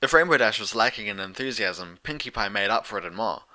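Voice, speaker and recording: A man's voice: on the low side, lively intonation, fast talker, pushed and bright. A man reading scripted story narration as an audiobook narrator of fan fiction.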